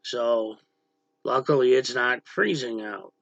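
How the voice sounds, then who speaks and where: A man hosting a casual livestream with a gravelly voice, talking bluntly to viewers and snapping at chatters who annoy him. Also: mid-range voice, slow pace, a bit bright, dry recording, highs cut off. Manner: blunt, irritated, snaps at chatters. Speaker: livestream host. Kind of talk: casual livestream chat reading viewer comments. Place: dim room at home, power out.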